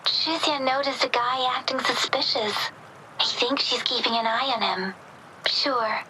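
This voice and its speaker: A caring young companion from a video game. She speaks slowly in a high, gentle, earnest voice, with ambient noise behind her. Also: rough recording. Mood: concerned. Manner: soft-spoken, earnest, concerned. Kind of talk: scripted video game acting. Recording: clear background ambient noise.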